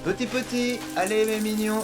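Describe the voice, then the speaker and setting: A man playing a grumbling, sardonic slacker in a French animated film. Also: high voice, moderate pace, over loud music, close to an everyday voice. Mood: sardonic, coaxing.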